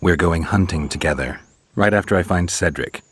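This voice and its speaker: An actor playing a terse, deadpan monster hunter for hire in a fantasy video game, with a gruff, gravelly voice. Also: male voice, low voice, moderate pace. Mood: dry, matter-of-fact.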